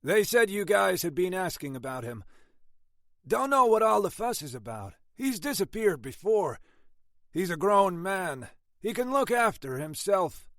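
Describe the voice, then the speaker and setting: A man's voice: sits high, very animated, measured pace, fairly bright tone, dry recording, studio quality, pitched above the performer's own voice, exaggerated voice. A man voicing a breezy, dismissive husband.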